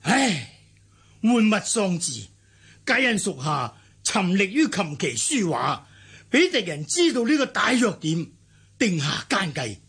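An actor with a Hong Kong Cantonese accent playing an anxious, deferential old manor master in a radio drama, husky, hesitant and apologetic. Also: male voice, high voice, huge pitch swings, unhurried, fairly bright tone, heavily acted.

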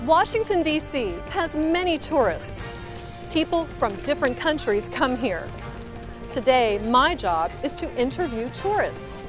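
This is a middle-aged woman reporter outdoors with a handheld mic. Her voice is high and lively, and she speaks with careful, upbeat clarity. Music plays behind her. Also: unhurried. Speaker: reporter host of an English lesson. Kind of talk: slow, clear scripted interviewing. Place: outdoors on city streets. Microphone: handheld microphone.

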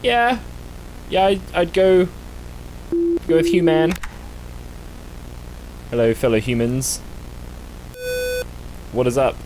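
Casting: young male gaming host, higher-pitched, swinging intonation, casual reactions, rumbling game noise behind. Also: big pitch swings, slow, long pauses. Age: young adult. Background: horror game rumble.

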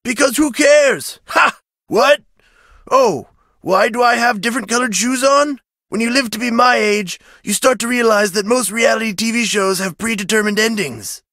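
A man's voice: very high-pitched, normal speed, bright, forceful tone, no echo, clean studio sound, heavily acted. A man playing a cheery, chatty, rambling contestant in his eighties for a video game, with theatrical delivery. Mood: exclaiming. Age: 80s, as played. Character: cheery, chatty 86-year-old contestant grandparent. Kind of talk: video game character acting.

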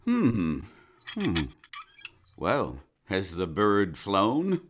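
An actor playing a condescending county attorney in a live audio drama, with a patronizing drawl. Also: male voice, fairly low pitch, huge pitch swings, very slow, background noise, heavily acted. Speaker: Attorney Henderson.

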